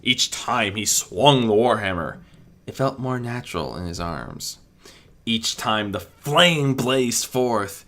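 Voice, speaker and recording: Bright-voiced man narrating a fantasy story. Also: fairly low pitch. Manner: amateur reading with occasional stumbles and self-corrections. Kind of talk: scripted dramatic narration of fan fiction.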